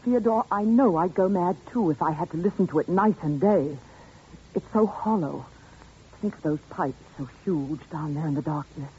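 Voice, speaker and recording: An actress playing a frightened but insistent fiancée in an intense, melodramatic 1940s radio suspense style. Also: female voice, on the low side, moderate pace, mellow, breathy edge. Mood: insistent, suspicious. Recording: tinny, noisy 1940s radio recording.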